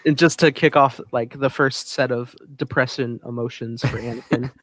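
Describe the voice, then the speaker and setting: A man co-hosting a podcast, giving a casual episode recap in a halting, hesitant way. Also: medium pitch, steady tone, fairly bright tone, no echo. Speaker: podcast co-host.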